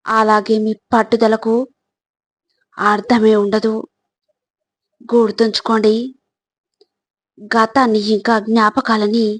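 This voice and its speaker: A woman reading scripted motivational narration in measured, deliberate short phrases. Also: a little high, dry recording.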